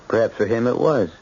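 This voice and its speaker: A man's voice: mid-range voice, vocal fry, a little mellow, husky. An actor playing an unruffled middle-aged American father in an old-time radio drama, dry and assured. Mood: surprised, wry. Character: unruffled, wry husband and father. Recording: muffled, faintly noisy old radio recording.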